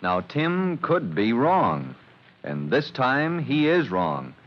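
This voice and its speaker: A man's voice: mid-range voice, wildly swooping pitch, slow. An American man announcing a children's radio serial in a dramatic, scripted mid-century style. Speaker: announcer, narrator. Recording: muffled old radio recording.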